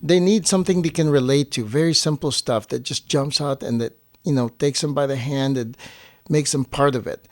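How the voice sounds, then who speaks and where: A man co-hosting a casual explanatory chat, speaking haltingly with frequent pauses mid-phrase. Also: mid-range voice, darkish tone, no echo, studio quality. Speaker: co-host and filmmaker.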